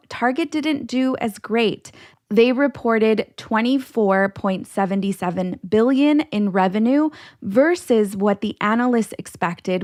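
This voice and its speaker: A woman's voice: mid-range voice, dry recording, clean studio sound. A woman hosting an educational podcast, explaining things step by step directly to her listeners. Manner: instructional, explains terms step by step. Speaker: podcast host, financial educator.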